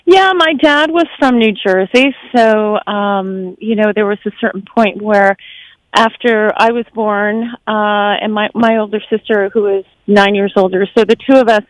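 A woman's voice: mid-range voice, unhurried, no echo, rough recording. A middle-aged woman from Japan who grew up in America and speaks with an American accent, chatting reflectively as an interview guest. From born in Japan, grew up in the United States. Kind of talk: casual conversational interview.

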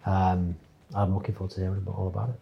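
A man co-hosting a podcast, chatty and quick to laugh. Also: sits low, completely flat delivery, creaky, echoey room, highs cut off. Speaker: podcast co-host. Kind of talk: casual podcast chat.

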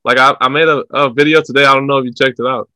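A man hosting a casual interview, talking haltingly, repeating words and saying "like" a lot. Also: mid-range voice, steady tone, pushed and bright, no echo. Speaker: host, interviewer.

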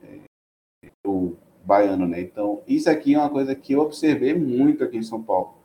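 A man with a Bahian accent tells his story in a casual, halting way, with frequent restarts. His voice sits at an ordinary pitch, and faint noise runs under the recording. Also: mellow. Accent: Bahian Portuguese. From Bahia, Brazil. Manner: hesitant, many restarts and fillers. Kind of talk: casual conversation.

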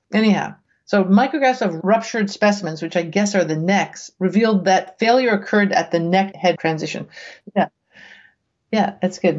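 Creaky-voiced woman, bemused and conversational. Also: fairly low pitch, a little mellow, dull audio. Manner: conversational, bemused, thinking aloud. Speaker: guest reader reacting to research papers. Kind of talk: dramatic reading with casual asides.

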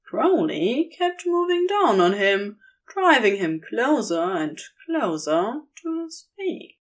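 A woman narrates a story from a script at an unhurried pace. Her pitch moves in lively swings from phrase to phrase.

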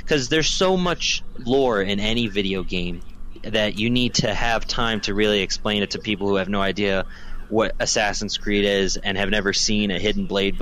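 Low-voiced man chatting over noise. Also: a bit bright, low-quality recording. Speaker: podcast guest. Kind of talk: casual chat giving opinions on films. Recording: clear background noise.

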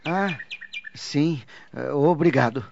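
A man's voice: on the high side, slow, gravelly, a little mellow. An actor playing a good-natured, bashful young student in a radio drama, eager in his delivery. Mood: agreeable, bashful. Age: young adult. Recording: dull lo-fi, faint noise.

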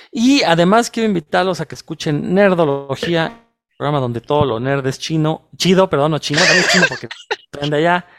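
A man's voice: fairly high pitch, no echo, studio quality. A man with a chilango Mexico City accent, speaking as a podcast co-host in an emphatic, opinionated film discussion full of tag questions.

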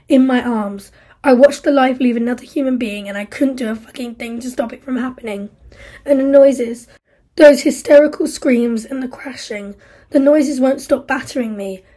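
Woman reading a grieving, furious young man; husky, mellow tone. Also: a little high. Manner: anguished, bitter, raised voice. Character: grief-stricken angry young man, survivor.

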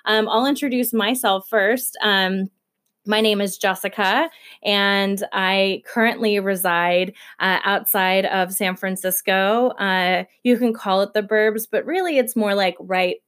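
A woman in her thirties from Kentucky and Detroit, co-hosting a casual podcast in a fairly even, chatty way with many fillers. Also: mid-range voice, unhurried. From Kentucky, raised also in Detroit. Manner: self-described monotone, chatty with frequent fillers and laughs. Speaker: podcast co-host.

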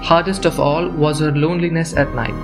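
A man's voice: fairly high pitch, steady tone, fairly quick, loud music behind the voice. A man narrating a scripted story for an audio play.

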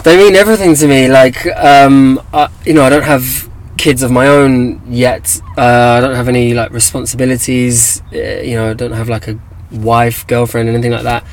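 A young man with an English accent talks in a relaxed, everyday way, often pausing on fillers and starting his sentences over. His voice sits at an ordinary middle pitch. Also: low-quality recording. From England. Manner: hesitant, many fillers and restarts. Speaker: guest, activist. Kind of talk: casual interview talk.

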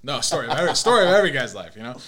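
Joking podcast host, bright voice. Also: male voice, mid-range voice, big pitch swings, very fast. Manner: joking, laughing, plays soundboard clips. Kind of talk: casual chat.

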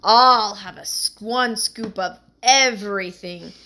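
A woman's voice: mid-range voice, slow, pushed and bright. A woman voicing an eager, food-loving young boy with an enthusiastic manner.

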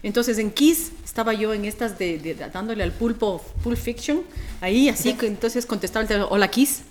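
A woman with an Ecuadorian accent and a deep, strong voice, chatting casually and colloquially as a radio presenter telling stories in an animated way. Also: fairly quick, slight background noise. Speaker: radio presenter being interviewed. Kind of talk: casual podcast chat. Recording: music playing behind the voice. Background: music.

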